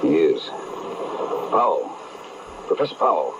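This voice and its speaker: A self-assured gentleman sleuth in an old radio mystery. His low voice moves expressively yet stays polished and composed, with clear static over the broadcast. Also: big pitch swings, unhurried, dull audio, heavily acted. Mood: composed, explaining. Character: suave, self-assured male gentleman detective. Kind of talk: 1940s radio crime drama acting.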